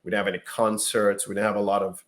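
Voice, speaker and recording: A man co-hosting a casual reaction chat as a realtor, talking in a halting way and often repeating words while he thinks. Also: fairly low pitch, level tone. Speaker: realtor co-host.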